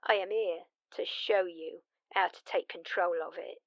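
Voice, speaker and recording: A woman's voice: on the low side, fairly even intonation, moderate pace, lo-fi sound, lightly acted. A woman playing a blunt, wry immortal vampire mentor in a one-sided audio drama, conversational and teasing in her delivery. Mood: amused, warning.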